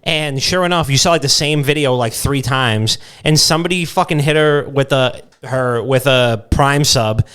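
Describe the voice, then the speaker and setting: A man with a clean, smooth voice of average pitch chats as a podcast co-host. He banters loosely and jokingly, in an informal storytelling way. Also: normal speed, hardly any pauses, fairly bright tone.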